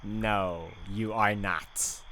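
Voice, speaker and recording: A man's voice: lowish voice, fairly even intonation, very slow, bright, forceful tone, low-quality recording. A man reading a visual novel's narration aloud in a dramatic way, with sarcastic asides. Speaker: video game player reading narration aloud.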